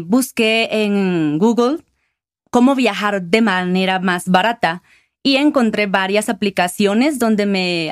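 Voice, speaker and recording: Una mujer guatemalteca con acento guatemalteco, invitada en un podcast, que responde de forma pausada y con algunas vacilaciones. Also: female voice, medium pitch, no echo, clean studio sound. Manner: measured, with hesitation fillers. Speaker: guest, sales manager. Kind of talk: interview answers.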